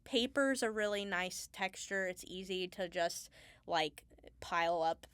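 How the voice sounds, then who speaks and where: A woman's voice: lowish voice, measured pace, a bit bright, clean tone, no echo. A woman chatting informally as an interview guest, thinking aloud about her craft in an unscripted way. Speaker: Foley artist, podcast guest. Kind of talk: casual interview chat.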